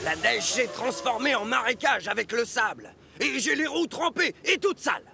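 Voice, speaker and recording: Grumpy, high-pitched, creaky cartoon locomotive. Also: male voice, expressive, normal speed, pushed and bright, heavily acted. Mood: grumbling. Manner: grumbling, exclamatory, indignant. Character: grumpy, complaining male narrow-gauge steam locomotive. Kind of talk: children's cartoon dub acting. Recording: music behind the voice.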